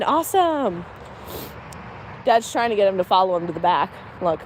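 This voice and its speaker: Woman, slight Pennsylvania accent, casual livestream chatter, outdoors by a pasture, light background noise. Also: mid-range voice. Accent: Pennsylvania, mixed American. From Pennsylvania, USA. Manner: chatty, laughs often, candid. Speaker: homestead livestreamer. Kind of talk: casual live commentary. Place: outdoors on a farm with cows.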